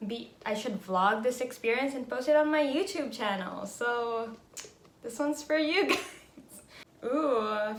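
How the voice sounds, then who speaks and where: A woman's voice: mid-range voice, a bit bright, reverberant. A young woman from the Philippines, a native speaker of Tagalog and English, chatting casually and thinking aloud as she vlogs at home, with frequent little laughs. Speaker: beauty vlogger. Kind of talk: casual vlog narration.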